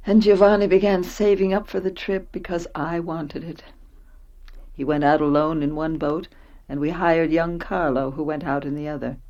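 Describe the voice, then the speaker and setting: A woman's voice: deep voice, creaky, a little mellow, highs cut off. A woman acting a self-possessed wife who narrates her past in a radio play. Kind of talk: dramatic scripted first-person narration.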